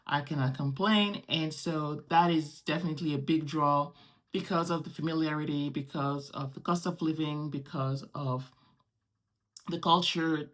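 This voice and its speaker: A woman's voice: low voice, steady tone, normal speed. A middle-aged woman from Morocco whose first language is French, talking conversationally in a casual solo vlog as its host, often repeating phrases for emphasis.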